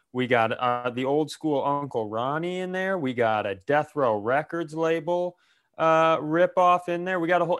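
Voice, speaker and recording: Smooth-voiced American man, joking and rambling. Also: on the high side, a bit bright. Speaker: podcast co-host. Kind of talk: casual comedic podcast chat.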